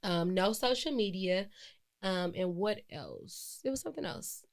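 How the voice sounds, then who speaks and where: A woman's voice: mid-range voice, expressive, a little slow. Chatty, reflective woman in her twenties. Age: 20s. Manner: chatty and reflective, with frequent fillers and self-corrections. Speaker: podcast host. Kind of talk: casual solo podcast monologue.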